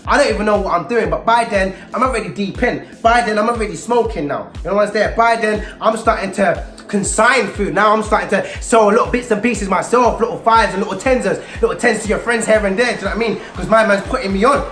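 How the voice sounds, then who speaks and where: A man's voice: sits high, quick pace, few pauses. London man telling street stories, roomy echo. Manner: animated, emphatic, acting out dialogue. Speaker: storyteller and youth mentor. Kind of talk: street-slang personal storytelling aimed at young people. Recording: roomy echo with faint music underneath.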